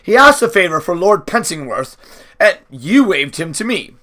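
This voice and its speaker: A man's voice: sits high, very animated, moderate pace, sharp tone, pitched above the performer's own voice, exaggerated voice. A man voicing a careful, deferential manservant in a storybook, speaking respectfully and apologetically to his lord. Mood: careful, anxious.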